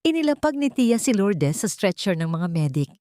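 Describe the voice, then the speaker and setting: A woman's voice: medium pitch, big pitch swings, normal speed, mellow, no echo, clean studio sound. A woman narrating a melodrama audiobook in a dramatic, emotive storytelling manner.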